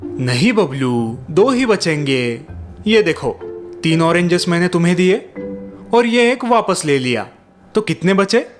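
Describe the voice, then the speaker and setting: A man's voice: high-pitched, big pitch swings, a little slow, faint music behind. A man voicing an earnest young tutor in a cartoon, who explains step by step and is easily exasperated. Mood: earnest, patient. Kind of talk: cartoon voice acting.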